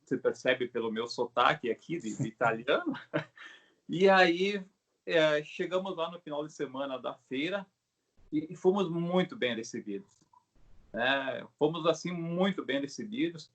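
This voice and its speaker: A middle-aged man with a Brazilian Portuguese accent explains his craft in a casual, halting way, often checking in with short tag questions. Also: on the high side, lively intonation, fairly bright tone. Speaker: craftsman, bow maker being interviewed. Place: video call.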